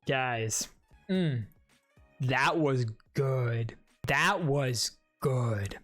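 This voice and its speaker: A man with a middle-pitched voice reacting to game trailers, excitable and slangy, dropping gushing asides as he ranks them. Music from the clips he is watching plays faintly behind him. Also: extremely slow. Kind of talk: casual reaction commentary.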